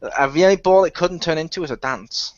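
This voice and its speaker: A man's voice: a little high, a little fast, dry recording. A man chatting casually as a cast member, teasing the others and laughing a lot. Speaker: cast member of an audio drama. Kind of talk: casual chat. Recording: dull lo-fi, dry sound.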